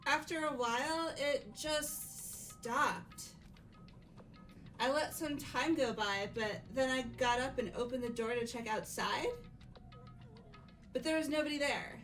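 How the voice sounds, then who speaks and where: A timid teenage schoolgirl from a visual novel game, played by a woman. Her voice is very high, sweet and hesitant, with music playing faintly behind it. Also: slow pace, a bit bright. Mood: frightened. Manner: hesitant, apologetic.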